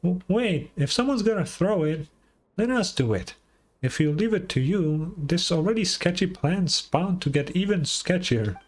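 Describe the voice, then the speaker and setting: A small female fairy sidekick from a fantasy game, acted out by a man, pitched well up. She is feisty and doubtful, firing off indignant, sarcastic retorts over background music. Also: lively intonation, normal speed. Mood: alarmed, bossy.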